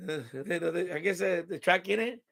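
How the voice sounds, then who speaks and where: A man's voice: sits high, moderate pace, creaky, fairly bright tone. A man hosting a live stream in casual, rambling, unfiltered chat with his viewers. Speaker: livestream host.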